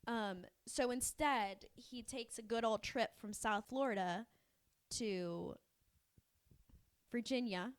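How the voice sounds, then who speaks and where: A woman's voice: fairly high pitch, slow, long pauses, smooth. A young woman co-hosting a podcast, telling a story casually and acting out the dialogue as she goes. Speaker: podcast co-host.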